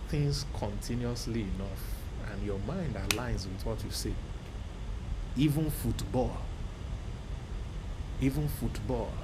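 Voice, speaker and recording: A man giving a motivational talk, emphatic and repetitive, breaking into sudden loud exclamations. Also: medium pitch, slow, pauses often, background noise. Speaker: motivational speaker.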